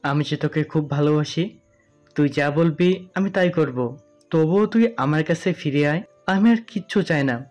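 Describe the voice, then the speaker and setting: A man's voice: a little high, normal speed, a little mellow, soft background music, lightly acted. An actor playing a distraught, devoted and remorseful young man in a Bengali audio story, beseeching emotionally. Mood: remorseful pleading.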